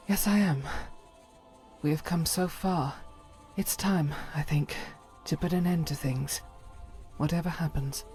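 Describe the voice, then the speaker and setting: Woman as a mellow, solemn knight. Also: low-pitched. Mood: resolute, solemn. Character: solemn, devout female knight companion, steadfast and gentle. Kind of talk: video game follower dialogue.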